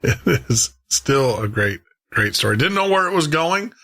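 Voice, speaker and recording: Bright-voiced male podcast host. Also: medium pitch, big pitch swings, measured pace. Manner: informal, rambling. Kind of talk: casual, chatty show hosting.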